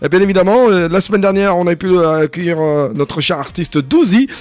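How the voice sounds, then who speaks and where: Upbeat, halting male radio host. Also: high-pitched, a little mellow, no room sound. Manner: upbeat greetings, then halting with many fillers. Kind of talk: live radio interview. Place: radio studio.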